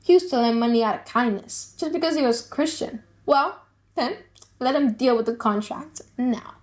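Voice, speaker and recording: A woman's voice: on the high side, moderate pace, close to an everyday voice. A woman voicing a bitter, vengeful older male moneylender in a dramatic audiobook play, indignant and emphatic. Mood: resentful.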